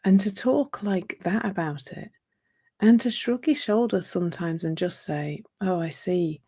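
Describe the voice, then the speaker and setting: A middle-aged woman talks in a gentle, reflective way, coaching her listeners on relationships. Her voice sits a little low and carries a slight croak. She often stops and restarts her sentences as she thinks aloud. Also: a little slow. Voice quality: slightly croaky from a sore throat. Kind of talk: live online talk.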